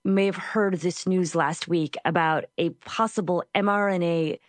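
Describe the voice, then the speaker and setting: A woman hosts an interview in a somewhat low voice, asking quick, curious questions. Her voice sounds dry and close, with no room echo. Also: steady tone. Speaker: host. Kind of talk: radio interview hosting.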